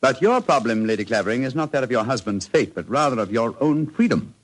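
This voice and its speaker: Casting: male actor as precise, proud English sleuth, rapid delivery, slightly husky, old radio drama. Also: mid-range voice, lively intonation, natural voice. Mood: brisk, wry. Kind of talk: 1940s radio acting.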